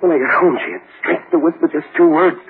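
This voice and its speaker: Actor as desperate vengeful husband, tinny old radio. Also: male voice, fairly high pitch, a little fast, lightly acted. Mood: anguished, vengeful. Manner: intense, urgent, rough street talk. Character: desperate accused husband bent on revenge. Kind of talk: 1940s radio drama acting. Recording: tinny, noisy 1940s radio recording.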